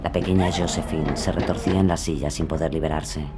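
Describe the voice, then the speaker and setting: A man giving grave, measured scripted narration of a true-crime story. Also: rumbling low, steady tone, loud music behind the voice.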